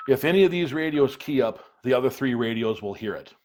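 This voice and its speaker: A man's voice: mid-range voice, lively intonation, a little fast, a little hiss or hum. A man giving a casual hands-on product demonstration, explaining things plainly and step by step. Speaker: product reviewer, video presenter.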